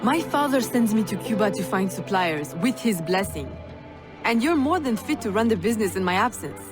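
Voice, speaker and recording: An actress playing a proud, defiant young woman assassin in a video game, speaking in a measured, formal and resolute way. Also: mid-range voice, animated, natural voice. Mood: guarded. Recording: music under the voice.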